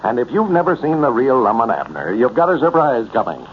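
Old time radio announcer guy, really animated, scripted 30s delivery, muffled noisy recording. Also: medium pitch, fairly quick.

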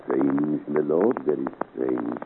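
An actor playing a shrewd, deferential French royal police chief with formal, measured diction in a 1950s radio serial. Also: male voice, very deep voice, gravelly, narrow, tinny audio, heavily acted. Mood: musing. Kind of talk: 1950 radio serial acting. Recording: scratchy, thin, noisy 1950s radio recording.

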